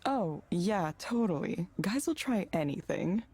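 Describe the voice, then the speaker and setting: Low-voiced, understated woman friend. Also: expressive, a little slow, darkish tone, husky. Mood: curious, sympathetic. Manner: brief, casual, understated replies. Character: attentive, sympathetic woman friend listening. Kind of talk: naturalistic visual novel voice acting.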